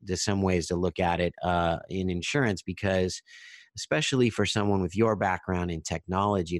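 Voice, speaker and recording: A middle-aged man hosts a podcast, his fairly low voice recorded close and dry. He is chatty, often repeating a word before finding his phrasing. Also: dry recording. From Southern California. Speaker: podcast host. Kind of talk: podcast hosting.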